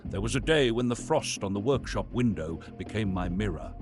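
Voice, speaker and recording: A man playing a weary, tormented, sinister old Santa, narrating a horror confession in a brooding, deliberate, theatrical way. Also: lowish voice, lively intonation, close to an everyday voice. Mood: bitter, weary. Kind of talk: dramatic horror monologue narration. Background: music.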